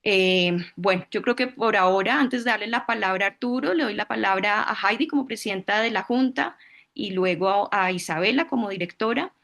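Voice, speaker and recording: A woman's voice: medium pitch, fairly quick, vocal fry, a bit bright. A woman, a board member moderating a video-call meeting and explaining the logistics in an organized way.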